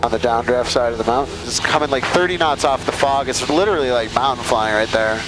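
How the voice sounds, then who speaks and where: A man's voice: mid-range voice, fast. A man working as a flight instructor, talking casually and encouragingly through a headset in a small plane. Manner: informal, encouraging. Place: small airplane cockpit in flight. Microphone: aviation headset. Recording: loud cockpit engine noise, aviation headset intercom.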